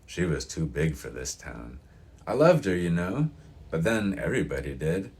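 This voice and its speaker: Man doing a crusty, animated old mountain-town fellow. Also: low-pitched, moderate pace. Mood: wistful, chatty. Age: elderly. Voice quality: grating. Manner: folksy, emphatic, rambling. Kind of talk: audiobook character reading.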